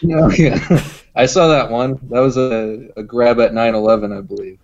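A man chatting casually as a podcast guest, hesitant and low-key in how he talks. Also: steady tone, normal speed, darkish tone. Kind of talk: casual chat. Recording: slightly dull, lo-fi audio.

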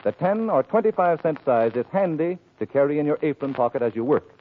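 A man's voice: on the high side, lively intonation, brisk. A male announcer reading an advertisement in an old-time radio style. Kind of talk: vintage radio advertising read. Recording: tinny, band-limited old radio recording.

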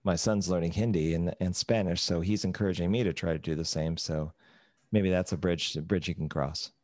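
Middle-aged male co-host, very deep voice, dry close sound, measured and thoughtful. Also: steady tone, darkish tone, no room sound. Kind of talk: casual interview chat.

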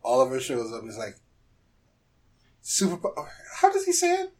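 Animated, swooping podcast chatter. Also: medium pitch, normal speed. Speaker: podcast co-host. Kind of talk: casual fan discussion with acted-out quotes.

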